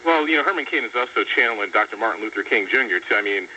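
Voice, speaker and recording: A man with a slightly low but very bright, tense voice talks quickly as a political commentator on the radio. He is articulate and sardonic, with a note of disbelief. The audio is dull and a bit distant over a faint hum. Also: lively intonation. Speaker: radio show guest commentator.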